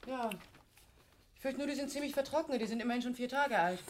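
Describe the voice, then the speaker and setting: A woman's voice: high-pitched, quick pace, a little hiss or hum, natural voice. An actress playing a flustered, fussing middle-aged mother in a naturalistic German radio play. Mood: busy, distracted. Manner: fussing, harried, distracted.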